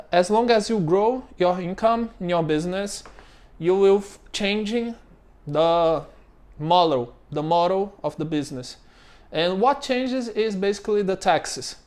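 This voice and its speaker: A Brazilian man with a fairly high voice talks through business steps in English, in no hurry. He has a clear Brazilian Portuguese accent, searches for words, and keeps checking in with "okay?". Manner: halting, frequent fillers and checks of "okay?". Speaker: former business owner explaining steps. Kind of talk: informal explainer to camera.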